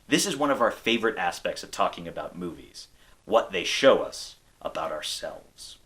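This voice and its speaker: A man's voice: low-pitched, sing-song, normal speed, fairly bright tone. An American man hosting a video essay, reading scripted narration. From United States.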